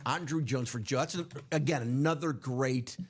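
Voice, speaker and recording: A man hosting a live card break stream, chatting loosely with many fillers, his voice going hoarse. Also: medium pitch, normal speed. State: losing his voice. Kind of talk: casual live stream chat.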